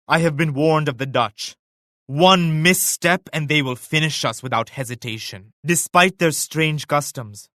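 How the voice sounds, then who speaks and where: An actor playing a devout, solemn emperor and military leader in a strategy game, with measured, grave, pious declamation. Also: male voice, on the high side, pushed and bright, no echo, studio quality. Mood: ominous, measured. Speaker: video game character voice actor. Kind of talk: scripted strategy game voice lines.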